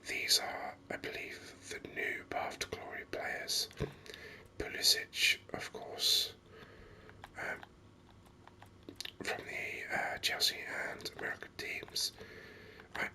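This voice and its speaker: A person hosting a gaming video in soft, unhurried ASMR whispers, musing over the game as they go, close to the microphone.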